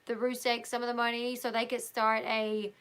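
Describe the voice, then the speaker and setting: A woman who is hosting a livestream, reading a book aloud and chatting casually with her viewers. She is hoarse and talks loosely, with fillers and restarts. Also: on the high side, level tone, normal speed, a bit bright. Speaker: livestream host.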